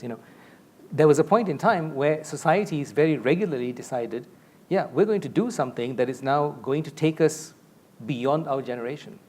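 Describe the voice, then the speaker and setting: A man's voice: medium pitch, darkish tone. A middle-aged man from Malaysia speaking as a panelist on a conference stage, explaining points at length through a lapel mic. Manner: expansive, explanatory, gestures a lot while talking. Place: large stage with a curtain backdrop.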